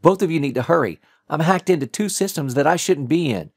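Man voicing an urgent woman hacker, raised pitch. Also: lively intonation, brisk, no echo, clean studio sound. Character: urgent female computer hacker on an earpiece.

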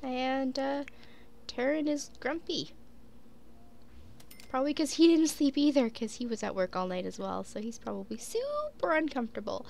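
A woman's voice: high-pitched, very animated, moderate pace, vocal fry, a little mellow. A young woman casually narrating her life-sim game, chatting to her characters, sniffly with a cold. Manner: chatty, talks to her sims, frequent "like" and "um". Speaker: gaming YouTuber. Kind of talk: casual let's play commentary.

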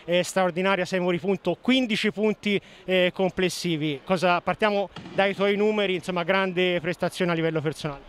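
A man's voice: sits high, fairly even intonation, brisk, hardly any pauses, smooth. A man working as a sports reporter, asking long, rambling post-match questions that pile up statistics.

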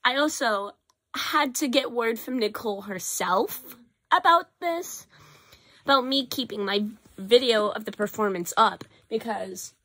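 A teenage girl, hoarse from a cough, talking casually and rambling into a webcam in her small bedroom. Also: fairly high pitch, a little slow. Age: teens. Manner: rambling, self-correcting, animated at times. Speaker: vlogger. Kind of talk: casual confessional vlog. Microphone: built-in webcam or phone mic, close.

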